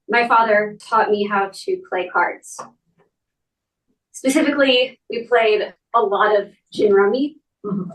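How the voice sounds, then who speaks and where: A woman speaking at a memorial, reading and talking in measured, deliberate phrases with pauses. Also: mid-range voice. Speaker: speaker giving a eulogy for their parent. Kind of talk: memorial speech and poem reading. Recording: roomy echo, distant mic.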